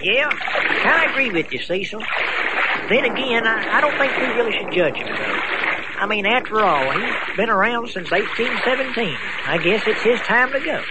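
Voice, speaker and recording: A very high-voiced, folksy boat skipper acted by a man stammers anxiously through an old-style radio adventure. The recording is heavily noisy, with watery boat ambience behind the voice. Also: sing-song, normal speed. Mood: uneasy, resigned. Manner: hesitant, stammering, mild exclamations. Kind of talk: audio drama acting.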